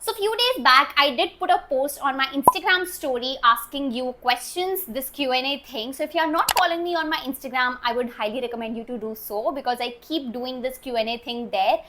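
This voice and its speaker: A woman's voice: sits high, a little fast, hardly any pauses, background noise. A woman in her twenties with an Indian English accent, chatting casually in a sit-down Q&A vlog and often slipping into Hindi. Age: 20s. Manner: chatty, heavy use of "like", switches between English and Hindi. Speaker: YouTuber.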